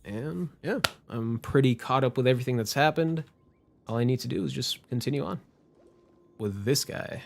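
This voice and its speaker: A man's voice: medium pitch, moderate pace, creaky, a little hiss or hum. A man doing casual gaming stream commentary, informal and prone to swearing, with game music behind him. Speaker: livestreamer.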